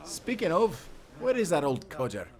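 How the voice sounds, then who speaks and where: A man's voice: on the high side, huge pitch swings, normal speed. A man playing a hearty, friendly timberman turned sailor who talks in folksy, encouraging old-time seafaring speech in a nautical audio drama. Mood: cheeky, teasing. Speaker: sailor guiding a newcomer.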